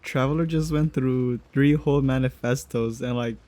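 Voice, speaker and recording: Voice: mid-range voice, fairly even intonation, moderate pace, warm tone, no echo, faint music behind. A game streamer giving casual, sardonic playthrough commentary with plenty of informal swearing. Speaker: game streamer reacting to a story quest.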